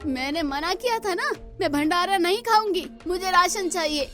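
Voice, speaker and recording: A woman's voice: very high-pitched, expressive, normal speed, a bit bright, soft background music, pitched above the performer's own voice. A woman voicing a stubborn village wife in a cartoon story, with a scolding, insistent manner. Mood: angry, scolding.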